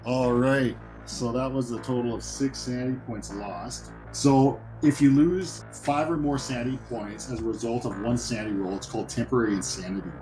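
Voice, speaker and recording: A man's voice: mid-range voice, normal speed, few pauses, warm tone, faint music behind, rough recording. A man as game master, improvising narration and explaining rules in a conversational way for a horror tabletop game.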